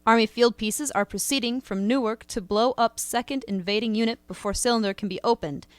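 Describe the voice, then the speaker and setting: A woman's voice: a little high, fairly quick, smooth, dry recording, natural voice. A woman playing an urgent radio bulletin reader, delivering news in a clipped, telegraphic style.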